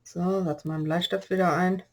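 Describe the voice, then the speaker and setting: A woman with a slight cold-hoarse voice, chatting through a step-by-step paper-craft tutorial and explaining the measurements as she works. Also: fairly low pitch, fairly even intonation, moderate pace, noisy background. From Germany.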